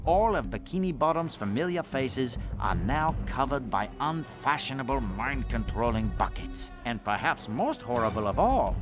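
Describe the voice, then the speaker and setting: An actor playing a theatrical narrator who tells a grim tale in a cartoon video game. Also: male voice, medium pitch, lightly acted. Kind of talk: dramatic scripted storybook narration.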